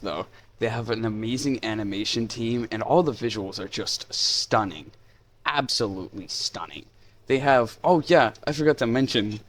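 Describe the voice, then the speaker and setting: A man hosting a casual, unscripted video review in an informal, enthusiastic, rambling way. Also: fairly low pitch. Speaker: YouTube reviewer, host.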